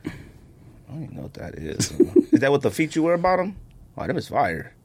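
A man's voice: a little high, sing-song, fairly quick, vocal fry, a little mellow. A man with an American accent co-hosting a podcast, joking and exaggerating in casual, slangy banter. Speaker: co-host of a sneaker podcast.

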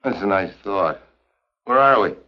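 An actor playing a tough, wisecracking American agent with a wry, slangy manner in an early 1950s TV spy drama. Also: male voice, on the low side, lively intonation, measured pace. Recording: muffled vintage 1950s TV soundtrack.

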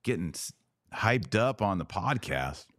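Deep-voiced male podcast host, dry sound. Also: big pitch swings, unhurried, fairly bright tone, no room sound. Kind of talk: casual podcast talk.

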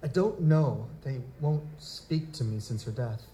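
A man's voice: mid-range voice, measured pace, warm tone, lightly acted. A man playing a formal, touchy young nobleman, a bereaved fiancé, in an audio drama, with stiff aristocratic diction. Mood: wistful, troubled. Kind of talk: live audio drama acting. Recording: roomy, echoing, noisy live recording.

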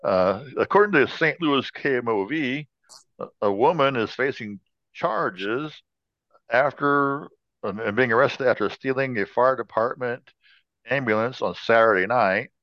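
A man's voice: medium pitch, a little slow, fairly bright tone, dry recording, muffled recording. An American man co-hosting a podcast in casual chat, tossing in playful, joking asides. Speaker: podcast co-host. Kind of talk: casual chat and informal news retelling.

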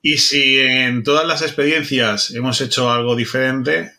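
A man's voice: mid-range voice, fairly even intonation, measured pace, pushed and bright. A man chatting casually as a podcast co-host. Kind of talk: casual chat.

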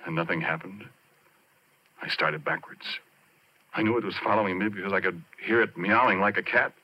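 An American man acting as a haunted, obsessed former oil driller in a 1940s radio horror play, confiding in halting, brooding phrases with dramatic pauses. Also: low voice. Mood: tense, shaken. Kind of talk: 1940s radio horror acting, intimate first-person narration. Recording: dull, noisy 1940s radio recording.

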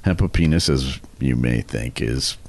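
A middle-aged American man co-hosting a comedy podcast, telling stories casually in a teasing, animated way. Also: very deep voice, a little slow, a little hiss or hum. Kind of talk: casual comic storytelling.

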